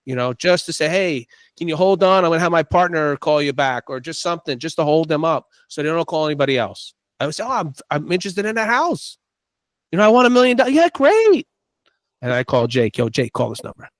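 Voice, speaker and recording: Animated middle-aged man, slightly high voice. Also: a little fast, no echo, clean studio sound. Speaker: podcast guest, real estate investor. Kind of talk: casual conversation.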